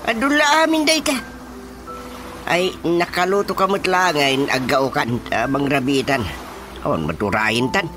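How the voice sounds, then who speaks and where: A man's voice: fairly high pitch, lively intonation, moderate pace, over music, natural voice. A man playing a grumbling old husband in a comic radio drama, muttering to himself with frequent exclamations and hums. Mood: excitable.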